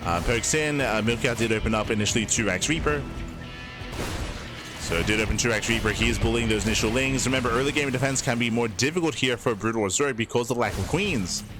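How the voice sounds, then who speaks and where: A man from Australia with a mild Australian accent giving fast, chatty live commentary on a strategy game, with lots of fillers. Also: mid-range voice. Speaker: esports caster. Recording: music playing behind.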